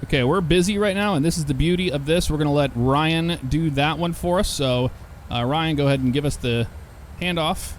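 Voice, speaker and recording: A man's voice: on the high side, a little fast, a little hiss or hum. Easygoing man narrating his flight sim. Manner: relaxed, chatty, reads back radio calls. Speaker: flight sim channel host. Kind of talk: casual gameplay commentary.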